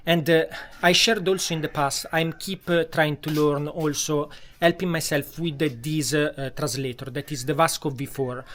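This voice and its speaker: A man's voice: a little high, steady tone, normal speed, hardly any pauses, fairly bright tone. A man with an Italian accent, a vlogger talking casually at home with many fillers and restarts. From Italy. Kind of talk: casual vlog talk. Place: home in a tropical town.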